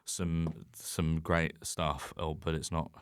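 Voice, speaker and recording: A man's voice: a bass voice, flat delivery, takes it slowly, clean tone, dry recording, clean studio sound. A man chatting casually as a comedian guest on a podcast, rambling, self-deprecating and sweary. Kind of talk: casual chat.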